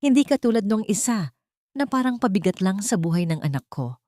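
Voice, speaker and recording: A woman's voice: mid-range voice, very animated, brisk, darkish tone, no echo, natural voice. Woman voicing a haughty elderly society matriarch, dry sound. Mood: boastful, scornful. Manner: boastful, disdainful. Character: haughty, boastful elderly rich society mother.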